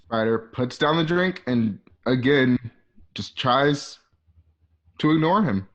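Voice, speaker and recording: A man's voice: medium pitch, animated, takes it slowly, lo-fi sound. A man narrating scripted stage directions for a radio drama.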